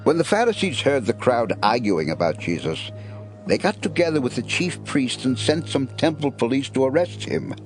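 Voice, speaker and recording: A man narrates a scripted Bible drama as a storyteller. His mid-pitched voice has lively, varied inflection, with music clearly playing behind it. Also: moderate pace, poor audio.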